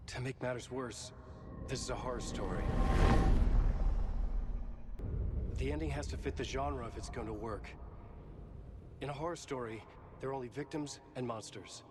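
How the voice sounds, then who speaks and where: A troubled male writer in a horror video game, played by an actor. His mid-pitched voice is grave and deliberate, with a quiet dramatic intensity. Clear ambient noise sits behind him. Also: close to an everyday voice. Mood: grave, foreboding.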